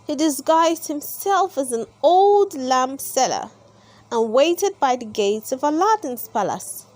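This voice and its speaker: A woman narrating a children's storybook aloud in an expressive, deliberate storytelling manner. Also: high voice.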